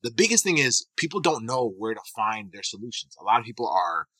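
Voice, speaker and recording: A man's voice: fairly low pitch, big pitch swings, a little fast, bright, forceful tone, slightly rough, no room sound. A man from Kenya hosting a casual podcast as a physical therapist, talking loosely and eagerly with many restarts.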